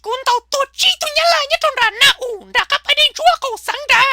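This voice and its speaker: A woman voicing an excitable, shrill comic cartoon character with a squeaky, creaky voice, prone to bursting into shouts. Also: fast, no room sound, full character voice. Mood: agitated, shouting.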